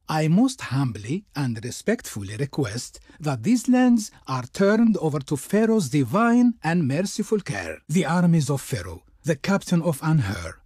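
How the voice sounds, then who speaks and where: An actor playing a proud, ceremonious Egyptian emissary in a video game, declaiming flowery, servile words with formal reverence. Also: male voice, fairly high pitch, a little mellow, husky, dry recording, clean studio sound, exaggerated voice. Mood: politely insistent. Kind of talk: theatrical video game character dialogue.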